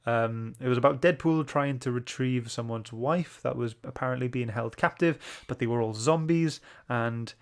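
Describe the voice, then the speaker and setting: Guy hosting a casual book club stream, chatty and informal, ordinary mid-pitched voice. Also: normal speed, few pauses. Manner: informal, chatty, greets chat viewers by name. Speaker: host.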